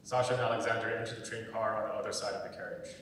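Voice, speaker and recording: Young male stage-direction narrator, lowish voice, long hall reverb, scripted delivery. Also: steady tone, racing, a bit bright. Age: young adult. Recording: very echoey hall, distant mic, faint rumbling noise.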